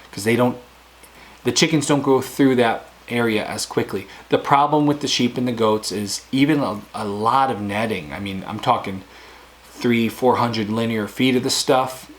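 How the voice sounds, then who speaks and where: A man hosting a homesteading Q&A, answering viewer questions in a casual, rambling way with a hoarse voice. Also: mid-range voice. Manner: rambling, conversational, plain-spoken. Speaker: homesteading channel host.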